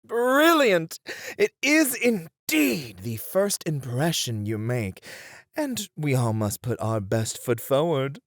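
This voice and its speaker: Man acting as gentleman etiquette tutor, formal, playful, encouraging, slow measured pace, very animated intonation, ASMR roleplay. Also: on the high side, no room sound, clean studio sound, exaggerated voice. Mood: encouraging, instructive. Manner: formal, theatrical, encouraging, elaborately polite. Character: courtly, playful male etiquette tutor at a ballroom.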